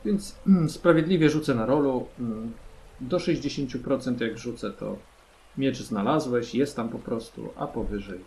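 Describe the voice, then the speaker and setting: A man narrating a tabletop role-playing game as game master, haltingly, often checking in with his players. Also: medium pitch, vocal fry, darkish tone, low-quality recording. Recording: music under the voice. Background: background music.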